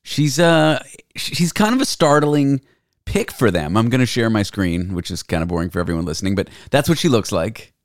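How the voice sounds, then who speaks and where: Playful, animated male podcast host. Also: on the low side, fairly quick, no room sound, clean studio sound. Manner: playful, goofy, jokey. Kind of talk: casual chat.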